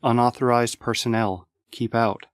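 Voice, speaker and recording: A man with a medium-pitched voice reads a story. It is scripted narration. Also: level tone, moderate pace, no room sound.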